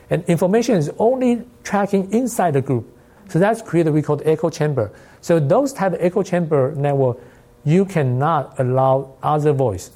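Mellow-voiced lecturer with a Taiwanese accent. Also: mid-range voice. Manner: non-native English with loose grammar, rhetorical questions. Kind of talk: informal academic presentation.

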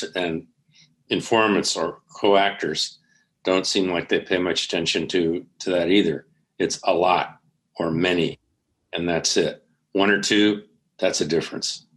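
A man, an anthropologist on a panel, speaking plainly and in a measured way. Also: sits low, fairly bright tone. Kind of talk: academic panel answer.

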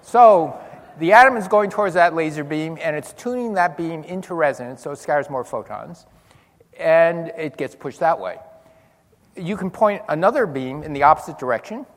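An older man giving a physics lecture to an audience, telling stories with dry humor and lively demonstrations. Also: on the high side, normal speed. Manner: animated storytelling with dry humor. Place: large lecture hall. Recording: long hall reverb.

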